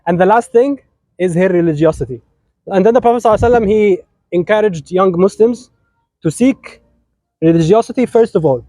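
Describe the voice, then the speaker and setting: Egyptian man, wedding officiant, fast and playful, high voice, mellow dry sound, slightly sick. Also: no echo. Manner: playful, teasing, switches between English and Arabic. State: sick, voice not at full strength. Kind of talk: lively wedding sermon, joking with the couple.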